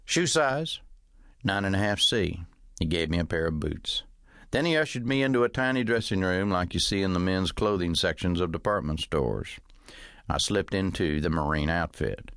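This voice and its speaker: A man narrates a satirical novel in a fairly low voice. His delivery is measured and scripted, with dry humour. The sound is very dry, with no room around it. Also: expressive, fairly bright tone, clear, resonant tone, no room sound. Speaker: audiobook narrator reading own comic novel. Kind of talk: scripted first-person narration.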